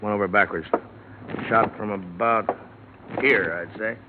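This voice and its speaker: An actor playing a folksy, plain-spoken small-town sheriff in a radio drama, with a rural Western American accent. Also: male voice, on the low side, steady tone, a little slow, narrow, tinny audio, close to an everyday voice. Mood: practical. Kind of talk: 1950s radio drama acting. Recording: noisy, band-limited vintage radio broadcast.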